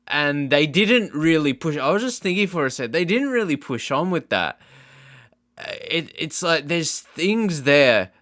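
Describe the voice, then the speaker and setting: A man's voice: medium pitch, bright, forceful tone, dry recording, clean studio sound. A man giving casual reaction commentary as a gaming YouTuber, thinking aloud in a hesitant, rambling way. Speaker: video game YouTuber.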